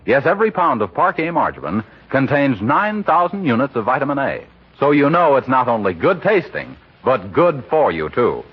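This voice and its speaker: A man delivering a scripted 1940s radio announcement with a polished, emphatic sales pitch. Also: sing-song, normal speed, a little hiss or hum. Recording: dull old radio broadcast recording.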